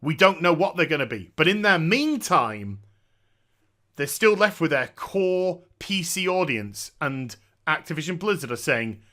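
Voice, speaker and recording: A man with a fairly high-pitched voice and a bright, forceful edge. He talks like an opinionated gaming commentator speaking off the cuff, blunt and emphatic, repeating key words for stress. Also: sing-song, measured pace. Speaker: gaming news commentator and streamer.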